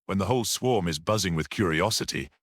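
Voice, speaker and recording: A man's voice: low-pitched, animated, fairly quick, dry recording, clean studio sound. A man voicing a curious, insistent young man in a story, probing and a little wry.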